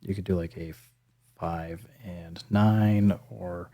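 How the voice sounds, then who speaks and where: A man's voice: steady tone, slow pace, mellow. A man hosting a casual hands-on music gear tutorial, thinking aloud in a hesitant, self-deprecating way. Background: synth pad and bass sample demo sounds.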